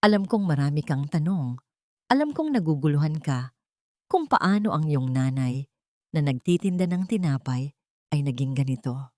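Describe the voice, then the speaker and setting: A woman with a Filipino accent voicing a dignified, gentle older mother in an audio story, earnest and measured. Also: sits low, expressive, no echo, clean studio sound. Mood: gentle, regretful. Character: dignified, gentle older mother confessing long-kept secrets.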